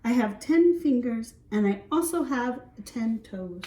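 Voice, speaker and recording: A woman's voice: on the high side, expressive, a little slow, mellow, slight background noise, voice pushed up. A woman voicing a small, stubborn, self-assured little girl in a storybook, speaking emphatically. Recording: roomy echo, distant from mic.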